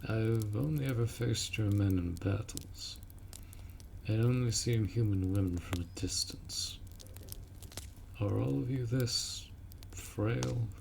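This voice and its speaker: A man playing a gruff, dutiful orc warrior in a fantasy roleplay audio drama, blunt and protective as he speaks to the listener. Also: fairly low pitch, takes it slowly, darkish tone, a little hiss or hum. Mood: curious, gentle.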